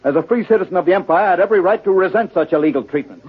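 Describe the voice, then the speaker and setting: Proud off-world archaeologist, assertive and earnest, very animated pitch; thin old radio recording. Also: male voice, very fast, breathy edge, narrow, tinny audio. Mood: indignant. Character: proud, indignant, chivalrous male archaeologist from outer space. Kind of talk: old-time radio drama acting.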